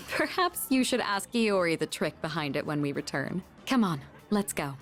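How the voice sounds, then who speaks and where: A woman playing a refined, patient young woman in a video game, composed, articulate and gently formal. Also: fairly low pitch, big pitch swings, normal speed, soft background music. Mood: pleased, composed. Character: poised, refined young woman, a patient elder-sister guide.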